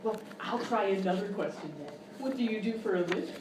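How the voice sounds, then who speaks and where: A woman's voice: mid-range voice, lively intonation, a little fast, recorded from a distance, lightly acted. Gleefully mean quiz hostess played by a woman, polished patter, sketch comedy, off-mic live recording with noise. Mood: gleeful mockery. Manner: bright presenter patter, mocking. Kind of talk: game show parody, sketch comedy. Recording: echoey, noisy live stage, off mic.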